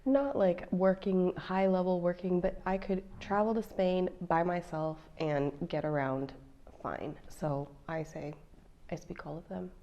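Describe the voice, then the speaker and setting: A woman's voice: on the low side, fairly even intonation, unhurried, warm tone, lo-fi sound. An American woman in her thirties, a mom vlogging in a chatty, playful way straight to her viewers. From United States. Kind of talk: casual chat to camera.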